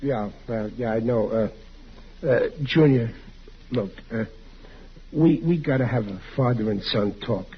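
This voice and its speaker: A man's voice: medium pitch, a little slow, pauses often, lightly acted. An actor playing a bumbling, blustering American father in an old radio comedy, stammering and easily flustered. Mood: nervous bluster. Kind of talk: 1940s radio comedy acting. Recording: muffled, hissy old radio recording.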